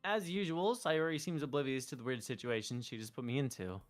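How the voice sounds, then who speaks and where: A streamer reading the lines of a male high-school student, earnest and a bit flustered. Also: fairly quick, soft background music, close to an everyday voice. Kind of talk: reading a visual novel aloud.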